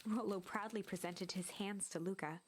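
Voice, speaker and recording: A woman narrating a video game like a storybook, in a measured, dramatic way. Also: mid-range voice, fairly even intonation, close to an everyday voice.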